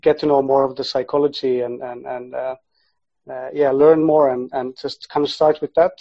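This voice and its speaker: A man who grew up in Finland answers interview questions as a podcast guest in a casual, halting way. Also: mid-range voice, level tone. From born in Sweden, grew up in Finland, half Icelandic. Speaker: podcast guest, sports psychology researcher. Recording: dull, lo-fi audio.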